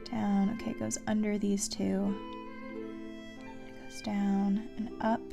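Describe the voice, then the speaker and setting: Creaky-voiced woman streaming a game, thinking aloud. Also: mid-range voice, flat delivery, slow pace. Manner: self-talking, with mild exclamations and sing-song filler syllables. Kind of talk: casual live gameplay commentary, thinking aloud. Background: music.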